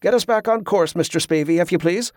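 A man's voice: sits high, a little fast, no room sound, clean studio sound, voice pushed up, natural voice. A man voicing a formal ship's captain in an audiobook, awkward yet used to command.

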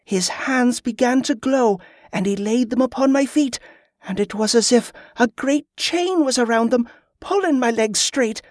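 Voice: high voice, lively intonation, measured pace, darkish tone, no room sound, clean studio sound, voice pushed up. A performer voicing a defiant, earnest young farm girl in an audiobook, with fervent rustic phrasing. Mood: fervent, awed.

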